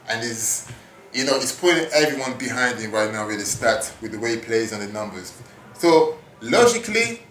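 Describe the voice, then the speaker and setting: A man in his thirties, raised in France, hosting a casual podcast monologue in an animated, hyping way with casual swearing, recorded at a gym. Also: mid-range voice, reverberant, a little hiss or hum. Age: 30s. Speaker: podcast host. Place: gym at work.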